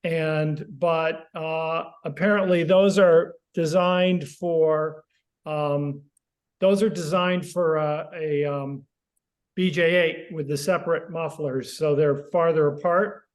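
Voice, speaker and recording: A man asking a question on a casual technical group call, very halting, with frequent long hesitations. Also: fairly high pitch, fairly even intonation. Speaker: club member asking a question.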